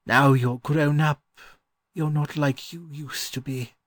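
A man voicing a gentle, wistful old scholar in an audiobook, musing fondly and haltingly. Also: a little high, fairly even intonation, no echo, voice pushed up. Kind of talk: audiobook character voice.

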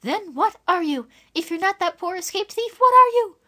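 Woman narrator as an anxious young girl; pitched way up, urgent. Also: expressive, normal speed. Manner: high, urgent, pleading.

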